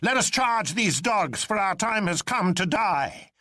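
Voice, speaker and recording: Man acting an impassioned swordsman, pitched high. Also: animated, measured pace, sharp tone, dry recording, studio quality, exaggerated voice. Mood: rallying. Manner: declaiming, frenzied, theatrical. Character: impassioned defiant gentleman swordsman, male, crying out. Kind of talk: dramatised novel reading.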